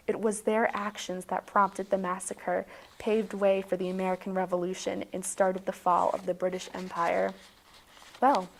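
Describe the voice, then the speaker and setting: A woman's voice: mid-range voice, low-quality recording. A woman hosting a video, narrating a tongue-in-cheek bedtime story in a mock-serious, dramatic way.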